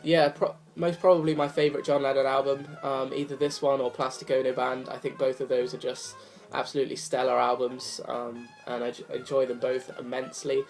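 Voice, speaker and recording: A young man talks in a relaxed, wandering way, full of ums and restarts. His voice is slightly rough from a cold. Quiet jazz plays in the background. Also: mid-range voice, brisk, few pauses, rough recording. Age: young adult. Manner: rambling, apologetic, many fillers. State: hoarse from a cold. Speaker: vinyl collector vlogger. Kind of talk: casual chat to camera. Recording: faint music behind the voice. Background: jazz record playing softly.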